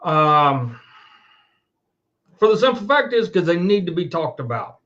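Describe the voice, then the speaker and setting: A man's voice: fairly high pitch, very animated, unhurried, a bit bright. Blunt, profane man in dull lo-fi audio. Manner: blunt, profane, emphatic, repetitive. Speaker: online show host. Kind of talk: unscripted monologue rant to camera. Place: indoors at a computer.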